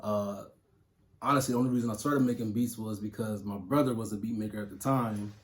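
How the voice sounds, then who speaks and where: A man's voice: lowish voice, a little fast. Man, thirties, from Ohio, music producer, easygoing chatty answers, slangy. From Toledo, Ohio. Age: 30s. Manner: relaxed, loose talk with fillers and slang. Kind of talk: casual interview answers.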